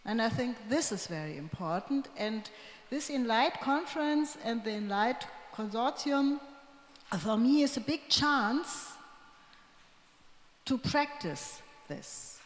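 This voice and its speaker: Woman, higher voice, very slow deliberate panel answer, echoey hall, long reverb. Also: animated. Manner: slow, deliberate, pausing between phrases. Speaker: conference panelist.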